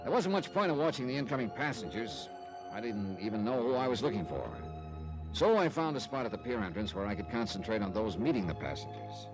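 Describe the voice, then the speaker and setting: A man's voice: mid-range voice, big pitch swings, brisk, lightly acted, low-quality recording. An actor playing a wry, sardonic investigator who narrates his case in a clipped old-time radio detective style. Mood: wry narration. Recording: vintage radio recording with music.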